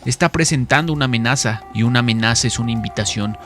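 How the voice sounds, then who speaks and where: A man's voice: medium pitch, quick pace, fairly bright tone. A man giving dramatic scripted narration of a story in a solemn, theatrical manner. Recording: music behind the voice.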